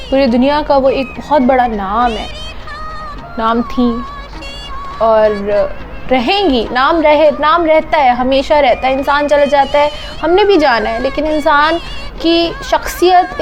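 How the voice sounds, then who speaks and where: A sorrowful woman giving a heartfelt spoken tribute, halting as she searches for words and slipping in English phrases. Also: high voice, darkish tone. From Pakistan. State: grieving, sorrowful. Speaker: singer paying tribute.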